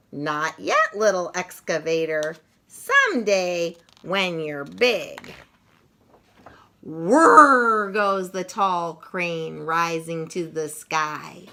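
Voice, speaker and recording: Bright, highly animated woman reading to children. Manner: expressive, playful, sing-song emphasis on repeated words. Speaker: storyteller reading a picture book aloud to children. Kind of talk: animated children's read-aloud with drawn-out sound words.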